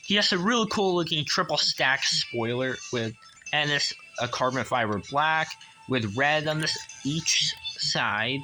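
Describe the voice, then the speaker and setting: A man giving a casual hands-on toy review as a collector, enthusiastic but halting and repetitive. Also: fairly high pitch, lively intonation, sharp tone, soft background music.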